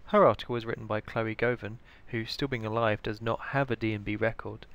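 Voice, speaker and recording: A man's voice: lowish voice, steady tone, brisk, a bit bright, muffled recording. A British man giving scripted instructional narration as the presenter of a training tutorial. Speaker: information librarian, tutorial presenter.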